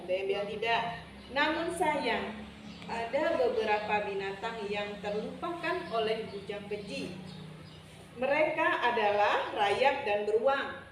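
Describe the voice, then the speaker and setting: A very echoey, distant recording of a woman storyteller with a high voice. She narrates expressively for children, turning to her listeners with questions over clear background noise. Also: expressive, unhurried. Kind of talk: interactive storytelling to an audience. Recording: echoey room from afar, noisy.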